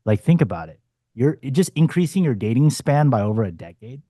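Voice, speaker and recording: Dating coach, male, 40s, blunt and profane, mellow tone, dry close sound. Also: mid-range voice, very animated, fairly quick, no room sound. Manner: blunt, swearing, punchy asides. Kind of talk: casual panel discussion.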